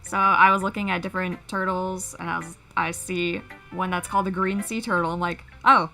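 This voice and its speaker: A woman's voice: fairly low pitch, steady tone, fairly quick, creaky, bright, forceful tone, faint music behind. A woman chatting casually on a livestream, giggly and amused at herself. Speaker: livestream panel guest, fan story creator. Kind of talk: casual chat.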